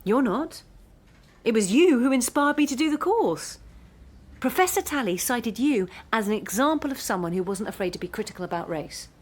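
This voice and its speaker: An earnest young postgraduate in a radio drama. Her voice is fairly high, and she speaks with articulate, insistent passion, like someone used to privilege. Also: animated, moderate pace, husky. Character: earnest, driven, privileged female postgraduate student.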